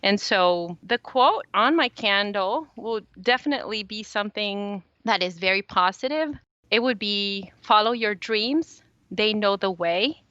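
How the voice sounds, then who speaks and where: Bright, clear woman from El Salvador. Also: mid-range voice, a little slow, dry recording. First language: Spanish. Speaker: podcast guest, small business owner. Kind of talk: conversational interview answers. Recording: slightly dull, lo-fi audio.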